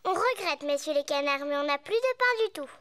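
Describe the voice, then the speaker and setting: A performer voicing a bossy, excitable little girl pig in a French children's cartoon, perky and cheeky. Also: sits high, a little fast, no echo. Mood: curious.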